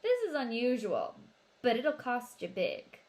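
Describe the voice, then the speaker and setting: A woman's voice: a little high, sing-song, measured pace, a little mellow, pitched above the performer's own voice. Woman reading as a shady, curt shop owner. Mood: sly salesman. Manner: curt, salesman-like haggling. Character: shady, gruff male coin shop owner.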